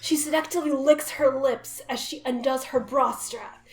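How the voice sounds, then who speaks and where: High-pitched woman narrating a comic story. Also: steady tone, moderate pace. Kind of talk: dramatic reading of a comic story. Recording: roomy echo, music behind.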